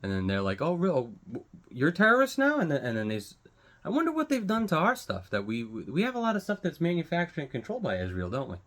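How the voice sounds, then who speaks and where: A man's voice: fairly high pitch, wildly swooping pitch, fast, a bit bright. An American man giving an unscripted, blunt opinion rant, swearing heavily and repeating phrases for emphasis. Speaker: vlogger giving commentary.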